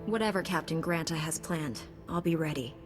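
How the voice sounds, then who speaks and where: An actress playing an earnest, dutiful young warrior heroine in a video game, composed and resolute. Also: female voice, low voice, normal speed, close to an everyday voice. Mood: calm, resolute. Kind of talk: scripted video game acting. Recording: game cutscene with music underneath.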